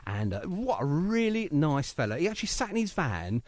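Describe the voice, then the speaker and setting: A man's voice: fairly high pitch, big pitch swings, brisk, dry recording. A man hosting a radio show in casual interview chat, talking in a chatty, enthusiastic and effusive manner.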